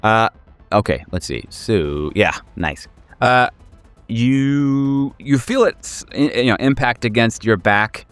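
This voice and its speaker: A man narrating a tabletop game as game master in a casual, halting, wryly playful way, often searching for words. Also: medium pitch, expressive, fairly bright tone, smooth, dry recording, soft background music. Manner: wry, playful, slangy.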